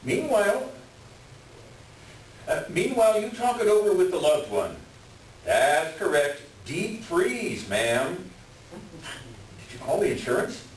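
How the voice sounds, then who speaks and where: In an echoey room, some way from the mic, a man acts out a harried doctor in a stage reading. His pitch leaps about as smooth professional patter gives way to exasperated, stammering pleas. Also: very animated, takes it slowly, long pauses, heavily acted. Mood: professional, detached. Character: flustered male cryonics doctor, cornered and in debt. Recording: echoey room, distant mic, faint noise.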